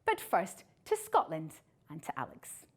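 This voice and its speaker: A woman presenting a current affairs show, reading scripted introductions and messages in a measured presenter's delivery. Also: low-pitched, wildly swooping pitch, breathy edge.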